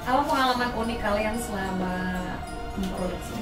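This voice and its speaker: A woman with a fairly high voice hosts an informal interview. She sounds away from the mic in an echoey room, with music clearly playing behind. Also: moderate pace, far from the mic. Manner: informal, colloquial. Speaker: podcast host interviewing guests. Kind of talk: casual interview.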